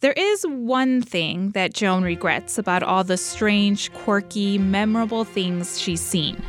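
A woman's voice: medium pitch, expressive, takes it slowly, faint music behind. A woman narrating a scripted radio feature as a reporter.

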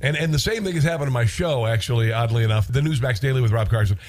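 A man's voice: mid-range voice, fast, darkish tone. A congested man with an American accent hosting talk radio in a casual, wry monologue, repeating phrases for emphasis.

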